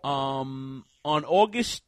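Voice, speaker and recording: A man co-hosting a casual wrestling podcast, talking in a rambling, animated way with many restarts. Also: mid-range voice, extremely slow, no room sound, studio quality. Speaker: podcast co-host.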